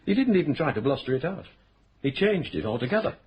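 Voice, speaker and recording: A level-headed police inspector in a radio crime play. He sets out the case in a measured, courteous way, and his voice rises and falls with feeling under a faint hiss. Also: fairly high pitch, big pitch swings, highs cut off. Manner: measured, polite, explanatory. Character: calm, patient male police inspector.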